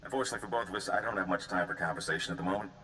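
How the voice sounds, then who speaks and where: A man's voice: sits low, rapid-fire, pushed and bright, a little hiss or hum, rough recording. A man voicing a businesslike intelligence agent in a video game, formal and clipped, with an urgent manner. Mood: urgent, warning. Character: brisk, businesslike male intelligence agent giving warnings.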